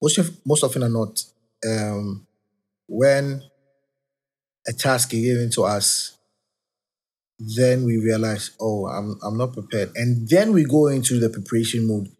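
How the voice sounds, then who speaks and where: A man's voice: measured pace, pauses often, darkish tone, slightly rough, poor audio. A man hosting a podcast, casually reflecting on faith in a rambling, self-correcting way. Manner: talks of his high-pitched voice. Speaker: podcast host.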